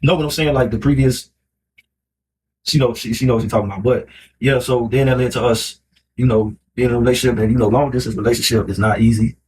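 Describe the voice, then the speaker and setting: A man's voice: medium pitch, steady tone, fast talker, a little mellow, a little hiss or hum, low-quality recording. A man in his thirties from Miami with an American accent, chatting casually to camera in a rambling, colloquial way peppered with filler phrases. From Miami, United States. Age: 30s. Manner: rambling, colloquial, frequent "you know" and "like". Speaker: vlogger telling relationship story.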